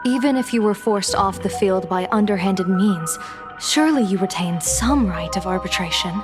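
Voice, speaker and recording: A woman playing a composed, authoritative general in a video game, speaking in a measured, formal and firm way over music. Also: mid-range voice, lightly acted. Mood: accusing. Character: composed, righteous female general, probing and authoritative. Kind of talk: scripted video game voice acting.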